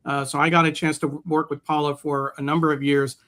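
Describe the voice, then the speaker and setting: A man's voice: fairly high pitch, level tone, fast talker, a bit bright. A man co-hosting a casual livestream, speaking in an earnest, appreciative way. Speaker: co-host of a livestream show.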